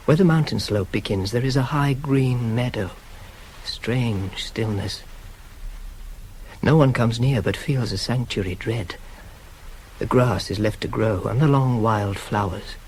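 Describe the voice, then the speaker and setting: An actor playing a devout, chaste young hunter in a classical verse radio drama, declaiming reverently and incantatorily with long pauses, on a hissy old cassette. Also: male voice, medium pitch, darkish tone, lightly acted, low-quality recording. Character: devout chaste young male hunter, rapt goddess worshipper.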